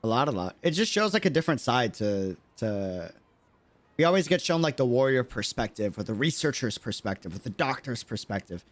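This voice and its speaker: A man's voice: mid-range voice, very animated, fairly quick, no room sound. A man giving casual livestream game commentary, earnest and slangy, often repeating himself for emphasis. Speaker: streamer.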